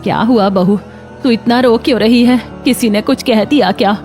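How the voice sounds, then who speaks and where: A woman's voice: fairly high pitch, normal speed, a little mellow, faint music behind, natural voice. A woman voicing a caring, practical older mother-in-law in a cartoon story, soothing and advising. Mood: concerned, soothing.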